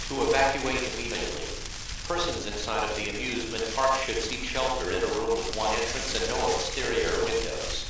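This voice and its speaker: A man's voice: mid-range voice, close to an everyday voice. Echoey, noisy male emergency broadcast announcer, acted. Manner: measured, impersonal. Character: calm official male emergency alert announcer. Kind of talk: formal scripted emergency broadcast. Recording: very echoey, distant, loud crackling noise.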